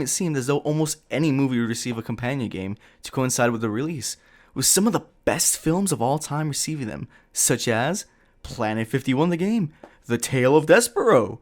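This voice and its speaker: A man's voice, a little higher than most, with a very lively range. He delivers comedic scripted commentary like a video essayist, mixing in casual asides. His manner is sarcastic and exasperated, with some swearing.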